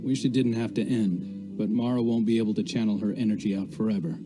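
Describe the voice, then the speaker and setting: Sincere, gentle male ally for game drama , male actor: lowish pitch, earnest and reassuring, music underneath. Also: fairly even intonation, quick pace, natural voice. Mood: fond, wistful. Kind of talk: dramatic video game dialogue.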